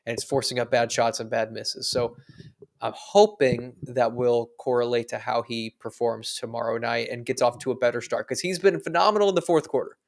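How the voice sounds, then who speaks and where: A man co-hosting a sports podcast, giving measured, analytical takes with the odd dry joke. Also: mid-range voice, fairly even intonation, vocal fry. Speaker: podcast co-host. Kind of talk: casual sports podcast chat.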